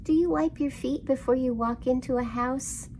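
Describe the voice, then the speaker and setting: A woman's voice: high-pitched, normal speed, a little mellow, slight background noise. A woman hosting an interactive storytime for young children, speaking in a sing-song way and putting questions to her listeners.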